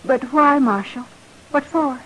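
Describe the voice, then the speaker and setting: A frontier rancher's wife, fairly high in voice, with a rural American accent. She is plain-spoken and polite, yet guarded and insistent. Light noise sits on the vintage radio drama recording. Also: unhurried, a bit bright, rough recording. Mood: protesting. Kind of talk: old-time radio western acting.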